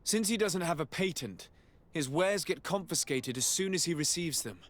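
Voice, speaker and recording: An actor playing a polite, resolute young nobleman diplomat in a video game, speaking in a formal, measured way. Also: a little high, lively intonation, a bit bright. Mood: courteous, composed. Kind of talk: video game cutscene acting.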